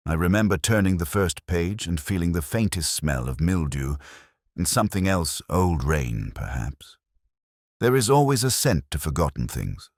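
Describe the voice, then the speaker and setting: A man narrating a scripted first-person detective story in a measured, brooding, literary manner. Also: extremely low pitch, husky, dry recording, studio quality.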